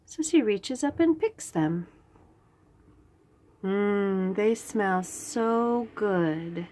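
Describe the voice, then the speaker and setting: Lively, unhurried woman storyteller for young children. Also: mid-range voice. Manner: simple short phrases with questions to the listener. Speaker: children's read-aloud host. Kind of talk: storytelling to young children, describing picture book pages.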